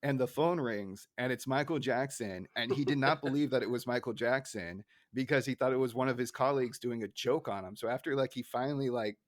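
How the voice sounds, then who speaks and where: A man's voice: medium pitch, a little fast, few pauses, dry recording, clean studio sound. A middle-aged man hosting a podcast in a casual, conversational discussion style. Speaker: podcast host.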